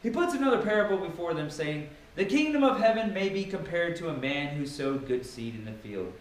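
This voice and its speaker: A man's voice: fairly high pitch, big pitch swings. Echoey, theatrical man reading scripture. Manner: theatrical, emphatic, with dramatic pauses. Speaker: Bible reader. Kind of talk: dramatic scripture reading with informal asides. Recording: very echoey room with faint noise.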